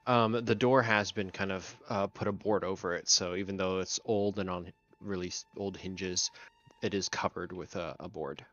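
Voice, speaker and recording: Low, creaky-voiced man running a game. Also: steady tone, normal speed, fairly bright tone, dry recording. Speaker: game master. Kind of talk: narrating a tabletop game. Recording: muffled audio over background music. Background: music.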